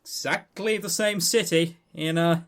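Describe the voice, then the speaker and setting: A man with a southern English accent co-hosting a casual gaming news chat, animated, sardonic and sweary in manner. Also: a little high, measured pace. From southern England. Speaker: podcast co-host.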